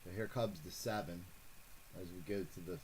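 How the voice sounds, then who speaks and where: Low-voiced man calling races live. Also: normal speed, slight background noise. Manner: rambling, self-correcting, excited at finishes. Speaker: host calling horse races for a fantasy contest. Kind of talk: casual live-stream race commentary.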